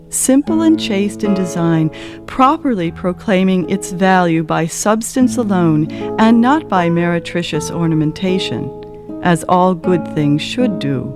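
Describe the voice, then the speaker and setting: A woman narrating a classic story in an expressive, measured and dramatic scripted style. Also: fairly low pitch. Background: acoustic guitar music.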